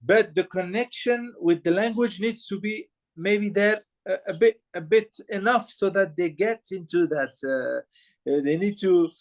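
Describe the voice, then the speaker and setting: A man from Morocco interviewing filmmakers, speaking haltingly with many fillers and restarts. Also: high voice.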